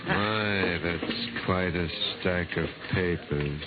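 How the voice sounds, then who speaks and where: Courteous nosy science writer, very proper, lowish voice, muffled old radio drama sound. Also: male voice, takes it slowly, over loud music. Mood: courteous, probing. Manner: formal, smooth-mannered, precise. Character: courteous, inquisitive male science writer, rather self-important. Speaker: actor. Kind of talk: 1950s radio drama acting.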